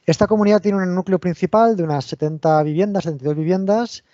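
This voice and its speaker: A man's voice: high-pitched, fast, dark tone, no room sound, lo-fi sound. A man with an accent from Spain giving an online technical talk, explaining step by step and often restarting his sentences. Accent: Spain Spanish. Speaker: invited lecturer.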